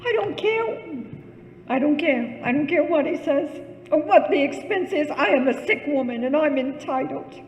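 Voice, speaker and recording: A woman's voice: sits high, lively intonation, normal speed, a little hiss or hum. A woman playing a frightened, imperious, self-pitying invalid in a radio thriller, stammering and fretful in melodramatic 1940s style. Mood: self-pitying, distraught. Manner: stammering, breathless, fretful and demanding. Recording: echoey hall with long reverb.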